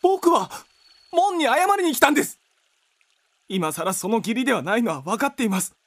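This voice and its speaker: An actor in a radio drama playing a meek, apologetic young student, hesitant and polite. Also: male voice, very high-pitched, sing-song, brisk, fairly bright tone, long reverb tail, heavily acted. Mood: contrite. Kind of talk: Japanese radio drama acting.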